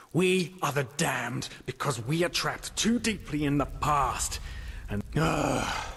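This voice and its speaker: An actor playing a tormented, bitter and fatalistic man in a fantasy game, with an anguished, halting delivery. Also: mid-range voice, a bit bright, slightly rough, heavily acted. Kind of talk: dramatic fantasy game acting. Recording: long hall reverb with noise.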